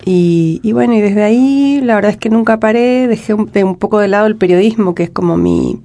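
A woman in her forties speaks with an Argentine accent. Her voice has a gravelly fry running through it. She answers reflectively and often breaks off to restart her sentences. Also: medium pitch, big pitch swings, dark tone. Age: 40s. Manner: reflective, talks in halting restarts. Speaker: guest, poet and writer. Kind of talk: casual interview conversation.

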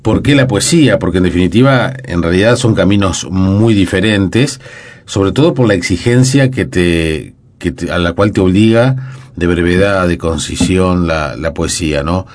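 A man leads the interview in a very deep voice. He tends to wander into long, musing asides before he reaches his question. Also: moderate pace. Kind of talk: casual interview conversation.

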